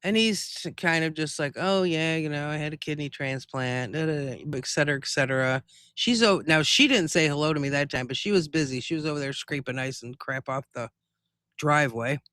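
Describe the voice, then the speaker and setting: Guy doing a casual livestream, chatty and rambly, bright smooth voice, very dry sound. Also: on the high side, a little fast, no room sound. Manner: rambling, conversational storytelling. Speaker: live stream host.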